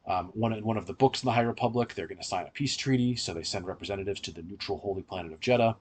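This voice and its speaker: Male podcast host, very low register, husky edge, casual explanatory delivery. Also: racing. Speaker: podcast host reviewing audio dramas. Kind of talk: casual explanatory review chat.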